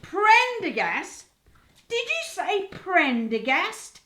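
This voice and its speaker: A woman playing a cantankerous, sharp-tongued mother of nearly seventy in a comic radio soap, grumbling and blunt. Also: extremely high pitch, big pitch swings, drawn-out words, heavily acted. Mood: dramatic.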